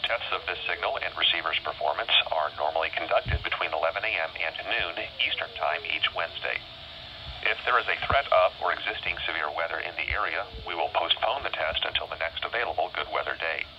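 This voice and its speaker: A man reading a formal scripted warning test announcement in a measured way, as a weather radio announcer. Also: sits low. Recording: muffled weather radio re-recorded in noisy room.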